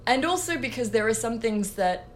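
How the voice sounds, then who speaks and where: Woman, Australian accent, late thirties, lively and laughing, faint hiss in the background. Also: fairly high pitch, normal speed. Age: late 30s. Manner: animated, laughs often, many fillers. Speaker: composer guest. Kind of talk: podcast interview.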